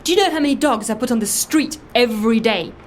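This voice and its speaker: A woman's voice: fairly high pitch, fast, a little hiss or hum, lightly acted. An actress playing an anxious, self-justifying woman in an audio drama, telling her story in a fussy, emphatic, defensive way. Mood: impassioned, indignant. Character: anxious, self-justifying woman confessing impersonation, earnest dog lover. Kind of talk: audio drama dialogue, first-person confession.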